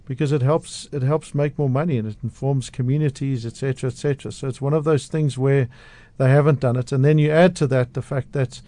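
Outspoken male guest with a mellow voice. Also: mid-range voice, fairly even intonation, a little fast, hardly any pauses, dry recording. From South Africa. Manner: passionate, outspoken, with frequent restarts. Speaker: radio guest, media analyst. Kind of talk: radio interview. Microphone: studio microphone.

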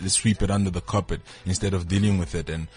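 A man's voice: deep voice, fairly even intonation, quick pace, darkish tone, breathy edge. A young adult man from South Africa, an actor guest on a radio show, speaking thoughtfully and often repeating words as he looks for the next one. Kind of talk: radio interview. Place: radio studio.

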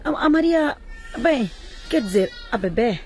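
A woman's voice: a little high, expressive, measured pace, a little mellow, faint music behind. A woman playing an anxious, devoted middle-aged mother in a radio drama, fretful and exclaiming. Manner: fretful, exclaiming, stumbling over words.

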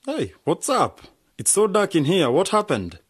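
An actor playing an eager, ambitious young man in a youth club, earnest and excitable, in a radio drama. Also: sits high, unhurried, breathy edge, full character voice. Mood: puzzled, impatient.

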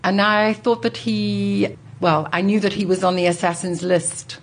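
A woman in her sixties telling her life story as an interview guest, articulate and thoughtful, with considered pauses. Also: mid-range voice, steady tone. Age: 60s. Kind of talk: reflective personal storytelling in an interview.

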